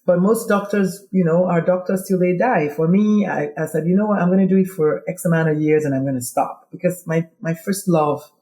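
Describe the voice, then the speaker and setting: Nigerian woman with a mellow voice. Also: fairly low pitch, a little fast, hardly any pauses, roomy sound. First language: Igbo. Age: middle-aged. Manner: animated, quoting herself in dialogue. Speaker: guest. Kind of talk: casual interview storytelling.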